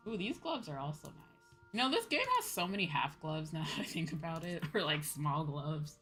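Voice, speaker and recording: A woman with a slightly low-pitched voice talks casually as she plays a game on a livestream. She thinks aloud in an informal, chatty way, full of little filler phrases like "you know". Music plays clearly behind her. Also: big pitch swings, a little fast, fairly bright tone. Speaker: streamer playing an online game.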